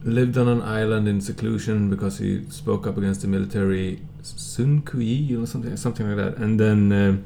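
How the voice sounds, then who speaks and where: Male co-host, lower pitch, conversational and hesitant when explaining, faintly noisy recording. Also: steady tone, moderate pace, gravelly, few pauses, dark tone. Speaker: podcast co-host. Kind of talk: casual chat.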